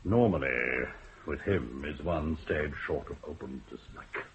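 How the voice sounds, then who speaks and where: An actor playing a proud, stubborn widowed doctor, middle-aged, speaking in a measured, authoritative way in a vintage radio play. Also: male voice, a bass voice. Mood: guarded. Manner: measured, authoritative, hospitable but insistent. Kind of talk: 1960s radio drama acting. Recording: muffled old radio broadcast, faint hiss.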